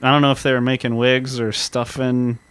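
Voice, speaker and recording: A man co-hosting a casual history podcast, talking earnestly and reading historical accounts slowly. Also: mid-range voice, little pitch movement, fairly bright tone, clean tone, no echo. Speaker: podcast co-host.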